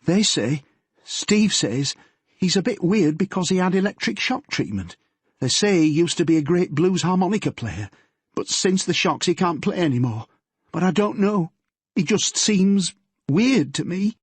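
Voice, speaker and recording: A man's voice: high-pitched, lively intonation, measured pace, breathy edge, no room sound, pitched above the performer's own voice, exaggerated voice. A man reading the part of a frightened, tearful teenage girl telling her policeman father what happened. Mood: anxious. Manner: shaky, pleading, breathless.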